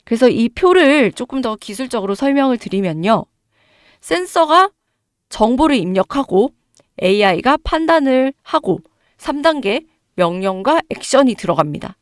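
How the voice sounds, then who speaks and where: A fairly high-voiced woman explains the market to her viewers with emphatic, rhetorical questions. Her voice is hoarse from a cold, yet her pitch swings widely. The sound is close and dry. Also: expressive, moderate pace, no room sound. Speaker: stock market commentator.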